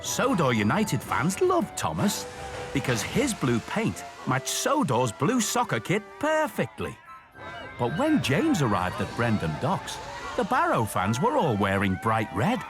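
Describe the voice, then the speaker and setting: A man telling stories and presenting for young children in an expressive, clearly enunciated way. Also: mid-range voice, measured pace, over music.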